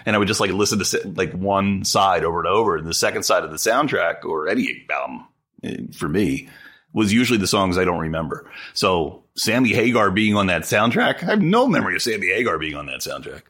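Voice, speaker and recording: A man's voice: sits low, lively intonation, a little fast, a bit bright, breathy edge. A man in his forties co-hosting a podcast, chatting casually and joking around. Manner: joking, slips into a mock radio announcer voice. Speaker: podcast co-host. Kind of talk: casual chat.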